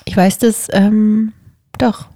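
A young woman chats casually with her husband on their podcast, teasing him and laughing often. Her voice is mellow and warm. The recording is close and dry. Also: mid-range voice, little pitch movement, slow, dry recording. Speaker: podcast co-host. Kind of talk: casual couple chat.

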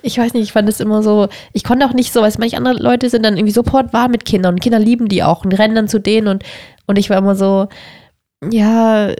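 A woman's voice: mid-range voice, steady tone, fast, dark tone, dry recording, studio quality. A young woman co-hosting a casual podcast with her husband, teasing him and laughing often. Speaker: podcast co-host. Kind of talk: casual couple chat.

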